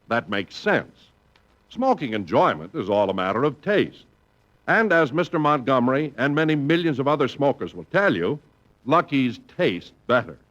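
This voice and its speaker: Male announcer, bright and lively, hearty sell, muffled old radio. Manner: hearty, booming salesman delivery.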